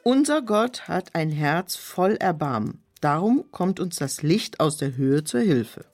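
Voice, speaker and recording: An earnest, emotional woman in a children's radio play. Her voice sits a little low and she speaks expressively with a homely touch, over faint music. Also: sing-song, a little slow, a little mellow, heavily acted. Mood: busy, then reciting.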